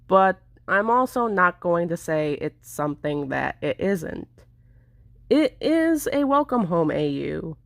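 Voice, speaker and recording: A woman's voice: deep voice, dry recording, studio quality. A woman video creator giving a semi-scripted, explanatory vlog narration.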